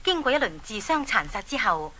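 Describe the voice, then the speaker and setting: A woman with a Hong Kong accent narrating a dramatised children's story in an exclamatory, animated way. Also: a little high, a little fast.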